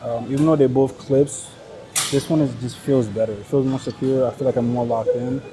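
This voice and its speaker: A man's voice: mid-range voice, fairly even intonation, a little fast, over music, low-quality recording. A man from Nigeria with a Nigerian accent, speaking English as his second language and chatting casually to the camera mid-workout in a slangy, joking, sometimes profane way, recorded on a clip-on mic. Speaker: fitness vlogger. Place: busy indoor gym. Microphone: clip-on body mic. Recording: gym chatter behind.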